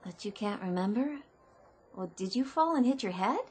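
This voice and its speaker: A woman's voice: mid-range voice, lively intonation, moderate pace, darkish tone, a little hiss or hum, natural voice. An actress playing a kindly, deferential female shop clerk in a radio drama, speaking hesitantly and soothingly. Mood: confiding, hesitant.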